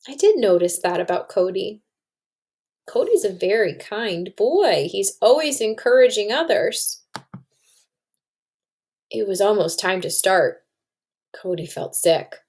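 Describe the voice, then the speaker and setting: A woman's voice: medium pitch, sing-song, takes it slowly, pauses often, darkish tone. A woman reading a storybook aloud to children and explaining it like a teacher, with questions to the listeners.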